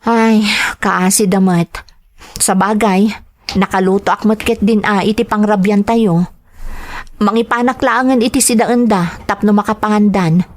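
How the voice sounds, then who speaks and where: A woman's voice: mid-range voice, fairly even intonation, a little slow, close to an everyday voice. A woman playing a caring, worried village mother and wife in an Ilocano radio drama, speaking expressively and with sympathy. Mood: concerned. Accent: Filipino, Ilocano. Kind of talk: radio drama acting with narration.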